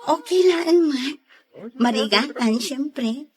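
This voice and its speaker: A woman with an Ilocano accent playing a young, single, friendly overseas worker in an audio drama, speaking softly and hesitantly. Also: sits high, expressive, takes it slowly, a crowd in the background. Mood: modest, friendly. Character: young single Filipina working abroad, Cherry, modest and friendly. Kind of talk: radio drama acting in Ilocano.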